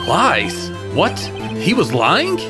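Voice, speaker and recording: Game fan dub rookie defense lawyer male actor: young, earnest, jumpy, very lively intonation, music behind. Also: on the high side, drawn-out words, exaggerated voice. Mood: nervous, confused. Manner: jumpy and hesitant, turning emphatic. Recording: music over the voice.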